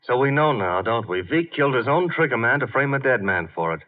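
An actor playing a tough, brisk, slangy detective's assistant in a 1950 radio drama. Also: male voice, mid-range voice, lightly acted. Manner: slangy, wisecracking, animated. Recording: muffled old 1950 radio broadcast.

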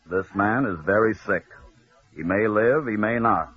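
A man voicing a solemn doctor in a 1940s radio story, speaking gravely and with measured weight. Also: lowish voice, lightly acted. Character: solemn-faced doctor delivering grave news. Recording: muffled, lo-fi 1940s radio broadcast.

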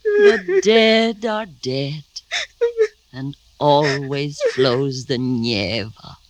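An actress playing a loyal, practical, conspiring maidservant in a theatrical old radio drama style. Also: female voice, a bass voice, very animated, drawn-out words, exaggerated voice. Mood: conspiratorial, soothing. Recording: noisy old radio recording.

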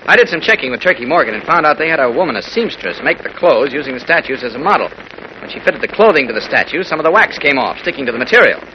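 Fast, lively newspaper reporter. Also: male voice, fairly high pitch. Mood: explanatory. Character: confident, friendly male newspaper reporter. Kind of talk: 1940s radio acting. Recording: muffled, noisy old radio broadcast.

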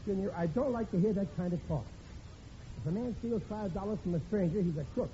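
A muffled, hissy old radio recording carries a man acting a cocky middle-aged working-class husband. He pitches his voice fairly high and blusters like a penny-pinching know-it-all in 1940s comedy style. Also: fast talker, dark tone, natural voice. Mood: scheming.

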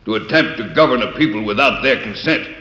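Guy acting stern old-time radio senator, sharp forceful speechmaking, echoey chamber. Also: mid-range voice, fast, lightly acted. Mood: stern, oratorical. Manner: forceful, formal. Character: stern male senator opposing the treaty. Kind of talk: 1940s radio drama oratory. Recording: echoey hall, muffled old radio recording.

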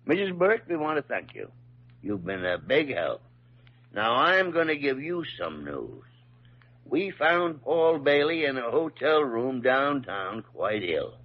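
A man acting an older senior hospital doctor in a 1940s radio drama, gruff but fair, speaking in a halting, folksy, emphatic way. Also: mid-range voice, very animated. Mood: businesslike. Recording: dull old radio recording.

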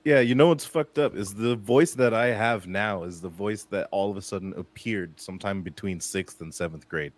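A man with a mid-range voice chatting on a podcast, teasing the others, swearing and slipping into quick impressions. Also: fairly low pitch, no echo. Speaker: podcast guest.

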